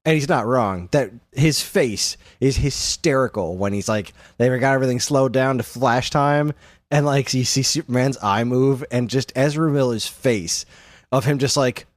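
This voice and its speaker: A man's voice: mid-range voice, normal speed, dry recording, clean studio sound. A man co-hosting a podcast in casual conversation, animatedly retelling things and acting out the odd quote. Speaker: podcast co-host. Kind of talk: casual chat about films.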